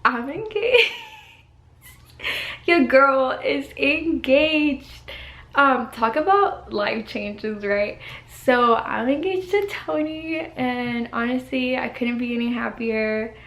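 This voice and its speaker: A woman's voice: high-pitched, slow, a bit bright, echoey room. A young woman, chatting casually to camera from her bedroom while getting over the flu, giggly and full of "like". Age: 20s. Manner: chatty, giggly, uses "like" and "y'all". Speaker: vlogger, college student.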